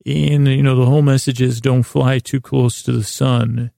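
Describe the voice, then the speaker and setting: A man hosting a sleep podcast, telling stories in a casual, meandering way with a gravelly voice, often halting and trailing off mid-thought. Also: medium pitch, little pitch movement, mellow, no room sound, clean studio sound. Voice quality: gravelly, creaky voice. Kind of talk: rambling casual bedtime storytelling monologue.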